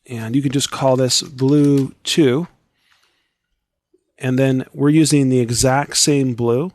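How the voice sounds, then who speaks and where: A man guides viewers through an editing tutorial one click at a time, relaxed and chatty. His voice sounds scratchy and worn, as if he is losing it. It is recorded close and dry, with no room sound. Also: medium pitch, no echo. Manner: step-by-step instructive, relaxed and chatty. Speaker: software tutorial instructor. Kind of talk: screen-recorded software tutorial.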